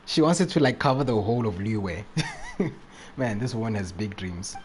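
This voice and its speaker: A man's voice: fairly low pitch, moderate pace, a little mellow, slightly rough. A man live streaming a video game, chatting casually in slangy, repetitive phrases. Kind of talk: casual live chat while playing.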